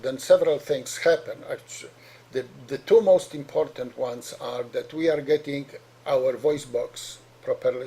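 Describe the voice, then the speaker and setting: Polish-accented doctor, dark and husky. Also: male voice, mid-range voice. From Poland. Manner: earnest, repeats and restarts words. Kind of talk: explanatory talk to parents.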